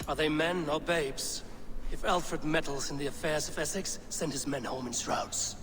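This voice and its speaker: An actor playing a stoic, blunt Viking warrior with a measured manner in a video game. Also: male voice, on the high side, close to an everyday voice. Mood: blunt, confident. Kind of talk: video game cutscene acting. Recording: clear background noise.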